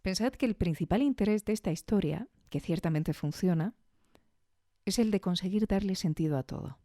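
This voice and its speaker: A woman's voice: mid-range voice, moderate pace, mellow, dry recording, studio quality. A woman with an accent from Spain hosting a podcast in a conversational way, with chatty asides and tag questions. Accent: Spanish from Spain. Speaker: podcast host. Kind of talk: casual scripted podcast review.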